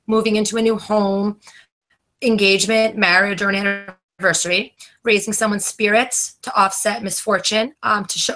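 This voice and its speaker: Young woman, bright crisp voice, prepared debate talk, careful listing. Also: medium pitch. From New Jersey, USA. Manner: careful, listing items one by one. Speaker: debate participant. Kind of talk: reading a prepared presentation.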